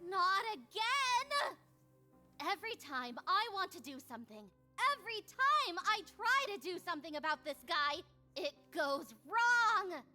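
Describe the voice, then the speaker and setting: A woman's voice: extremely high pitch, big pitch swings, measured pace, pushed and bright, faint music behind, voice pushed up, exaggerated voice. A woman voicing a hot-tempered, self-pitying young magical girl from a visual novel, dramatic and exasperated. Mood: frustrated. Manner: dramatic, sarcastic, exasperated outbursts. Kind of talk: visual novel character voice acting.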